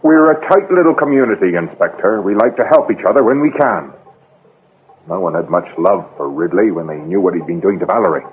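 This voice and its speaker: Village bloke in radio drama, halting n defensive, very lively pitch, tinny lo-fi sound. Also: male voice, a little hiss or hum. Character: defensive, loyal village man confessing to a killing. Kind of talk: British radio drama acting. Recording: lo-fi, narrow-band radio drama recording.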